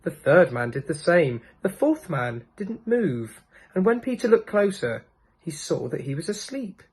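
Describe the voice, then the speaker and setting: Male storybook narrator, fairly high voice, highly animated intonation. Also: measured pace, husky. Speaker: storybook reader. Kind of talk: scripted narration of a children's storybook.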